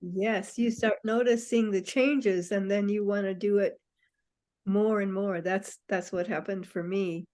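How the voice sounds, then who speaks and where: Woman in her sixties, interview host on a video call, unhurried and halting, many ums. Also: mid-range voice, fairly even intonation, a little mellow. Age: 60s. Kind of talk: casual interview hosting.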